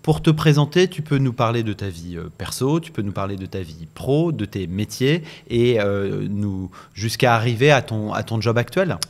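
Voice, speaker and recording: A man's voice: fairly low pitch, expressive. Hesitant French podcast host, man. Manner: halting, many "euh" fillers, short acknowledgements. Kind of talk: podcast interview hosting.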